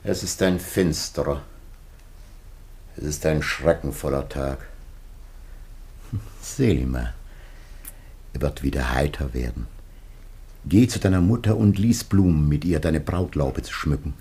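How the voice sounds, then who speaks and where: An actor playing a grave, aged biblical patriarch facing death, declaiming solemnly and haltingly in a classic radio drama. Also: male voice, rumbling low, animated, dark tone, husky, exaggerated voice. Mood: grave, tender.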